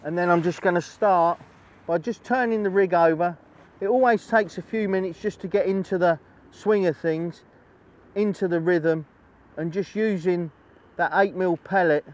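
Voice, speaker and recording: Male angling presenter, middle-aged, slightly high voice, chatty how-to delivery recorded outdoors. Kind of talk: instructional fishing demonstration, chatty. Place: outdoors on a lakeside bank.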